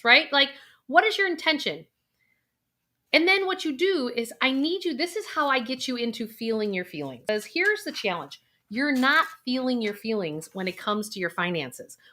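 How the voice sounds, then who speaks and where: A woman's voice: fairly high pitch, bright, forceful tone. A middle-aged woman, a financial planner, coaching the viewer through a guided intuition exercise with breathing.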